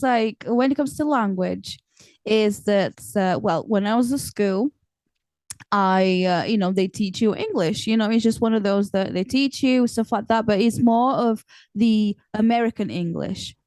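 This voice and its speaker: A middle-aged woman whose first language is Portuguese, hosting a podcast and chatting in a relaxed, conversational way. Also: medium pitch, measured pace, no room sound. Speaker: podcast host. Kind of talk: casual podcast chat.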